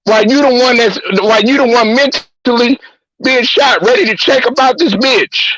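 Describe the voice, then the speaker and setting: High-voiced man ranting bluntly. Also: expressive, moderate pace, fairly bright tone, no echo, muffled recording. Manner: blunt, repetitive, heated. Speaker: host. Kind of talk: profane sports-talk rant.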